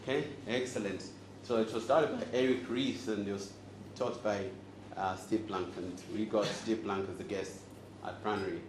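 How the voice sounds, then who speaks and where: Man from Zambia, forties, deeper voice, playful speaker quizzing audience, roomy echo, background noise. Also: steady tone, normal speed, hardly any pauses. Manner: joking and engaging, quizzes the crowd. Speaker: host and speaker. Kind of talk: live talk to an audience. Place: event room with audience. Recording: echoey room, audible noise.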